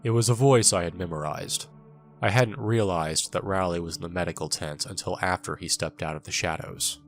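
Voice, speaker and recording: Audio drama casting: wounded young soldier narrating, very low voice, somber and wry, over music. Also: male voice, fairly quick. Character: bitter wounded young male soldier recounting his war.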